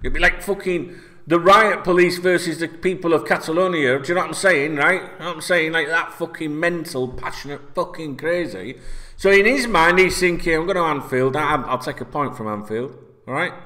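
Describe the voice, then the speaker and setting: A man's voice: a little high, a little fast. A man in his forties from North Yorkshire with a Yorkshire accent, ranting about football to camera in blunt, sweary casual talk, forever checking in with "right?", seated in a small room. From North Yorkshire, England. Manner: sweary, blunt, animated, keeps asking "right?". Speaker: football vlogger. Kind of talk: casual opinion rant to camera. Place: small indoor room, seated in red chair.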